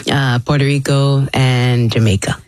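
A woman's voice: a bass voice, steady tone, measured pace, darkish tone, no room sound, clean studio sound. A woman from the Philippines, a radio guest, talking openly and chattily about her life. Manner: open and chatty, with fillers. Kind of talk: conversational interview.

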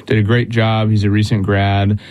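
A man's voice: on the low side, little pitch movement, normal speed, darkish tone, lo-fi sound. A young man in his twenties from Southern California, hosting a church talk show in a friendly, upbeat way with frequent laughs. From Southern California, USA. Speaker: host, evangelist. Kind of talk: casual radio chat.

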